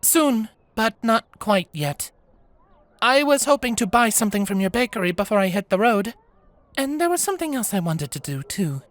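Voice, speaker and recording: Man doing a soft-spoken young woman traveler. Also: high-pitched, big pitch swings, moderate pace, dry recording, pitched above the performer's own voice. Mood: gentle. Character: polite, quietly mischievous young woman traveler. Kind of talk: storybook character voice.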